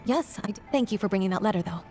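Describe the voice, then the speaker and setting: A woman's voice: medium pitch, expressive, rapid-fire, music in the background, lightly acted. An actress playing a kindly, motherly townswoman in a video game, speaking with tender sympathy. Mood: tender, concerned.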